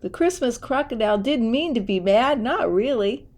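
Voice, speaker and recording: A woman reading a children's picture book aloud in a deliberate, expressive storytelling way, occasionally correcting herself. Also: on the high side.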